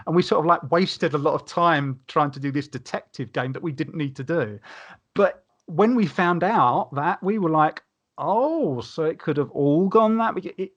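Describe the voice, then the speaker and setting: Guy on a podcast panel, voice a bit on the high side, casually explaining stuff. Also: a bit bright, highs cut off. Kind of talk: casual chat about gaming.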